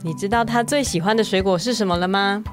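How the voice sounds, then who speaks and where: A woman with a Taiwanese Mandarin accent co-hosting a children's podcast, using simple, friendly phrasing and soft sentence particles for young listeners. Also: medium pitch, fast talker, music in the background. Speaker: children's story podcast co-host.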